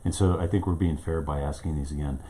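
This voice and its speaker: Local tv station owner guy, deep mellow voice, kinda rambly with lots of ums, asking questions off camera. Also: steady tone, quick pace. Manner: hesitant, rambling with many fillers. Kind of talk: casual interviewing. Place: his small studio.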